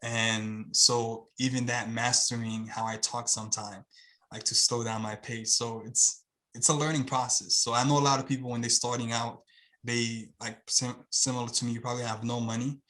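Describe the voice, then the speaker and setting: A man's voice: medium pitch, level tone, normal speed, a bit bright, rough recording. Haitian-accented man, earnest and rambling. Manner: earnest, rambling, many fillers and restarts. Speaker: guest, channel owner. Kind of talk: casual video-call interview. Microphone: video call.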